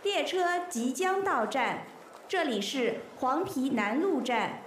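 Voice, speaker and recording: A woman giving formal, scripted subway announcements in a welcoming, measured manner. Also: sits high, expressive. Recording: echoey, noisy public announcement recording.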